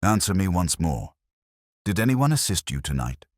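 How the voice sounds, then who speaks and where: A man plays a coolly analytical detective in a mystery audiobook, with a very deep voice. He speaks gravely and precisely, with expressive rises and falls. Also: moderate pace, no echo, clean studio sound. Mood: measured, urgent. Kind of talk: audiobook character dialogue.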